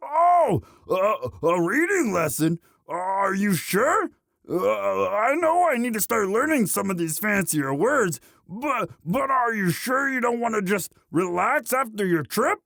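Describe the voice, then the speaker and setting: Orc boyfriend, male performer: sheepish, devoted muscle, halting unhurried delivery, very dry close sound, romantic audio drama. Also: high-pitched, very animated, vocal fry, a bit bright, no echo, full character voice. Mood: sheepish, eager. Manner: halting, sheepish, stammering with many uhs. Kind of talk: romantic roleplay audio monologue.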